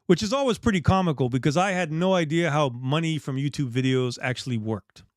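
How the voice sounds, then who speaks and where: A man's voice: a little high, a little fast, dry recording, clean studio sound. A middle-aged man from the Bahamas hosting a casual live chat, talking in a rambling, candid way with restarts. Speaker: dive shop host.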